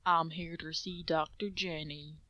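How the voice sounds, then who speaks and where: A woman voicing a big, easygoing male farm pony in a story, terse and unhurried. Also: low voice, steady tone, pushed and bright. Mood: laconic.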